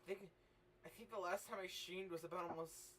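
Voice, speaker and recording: Excitable high-voiced man hosting a stream. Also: brisk. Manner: excitable, sweary. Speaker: livestream host and amateur voice actor. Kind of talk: casual livestream chat. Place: online group voice call. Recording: noisy, distant mic.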